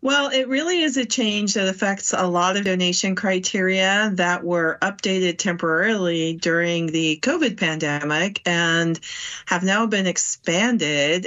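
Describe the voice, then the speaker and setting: A woman speaking as a medical expert guest in a radio interview, explaining in measured, careful phrases. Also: on the low side, fairly bright tone. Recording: dull, muffled lo-fi audio.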